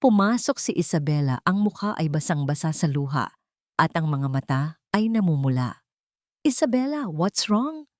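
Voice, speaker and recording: A woman narrating a scripted romance story dramatically, in suspenseful, short emphatic phrases with pauses. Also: low-pitched, animated, no echo, clean studio sound.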